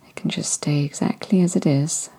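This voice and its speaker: A woman guiding a body-scan meditation in a soft, soothing voice that often drifts into whispers. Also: deep voice, steady tone, moderate pace, gravelly, slightly rough. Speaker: meditation guide.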